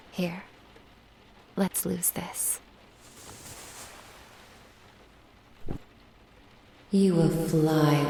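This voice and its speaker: A woman playing a lovestruck, lonely witch in an ASMR roleplay, soft-spoken, whispery and seductive in a tender, intimate way. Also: low-pitched, a little hiss or hum, heavily acted. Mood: sultry, playful. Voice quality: breathy, whispery. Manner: slow, tender, intimate delivery.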